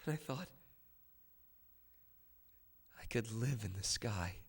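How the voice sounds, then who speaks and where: An actor playing a haunted, defiant adult son in a live radio drama, telling his story intensely and haltingly. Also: on the low side, expressive, breathy edge. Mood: quiet, bitter. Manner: halting, repetitive, intense recounting. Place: stage before a studio audience.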